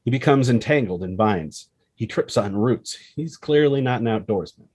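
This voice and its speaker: A man's voice: medium pitch, animated, normal speed, darkish tone, slightly rough, no echo. A man reading a screenplay's action lines as dramatic narration, expressively and in short punchy phrases. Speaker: screenplay reader.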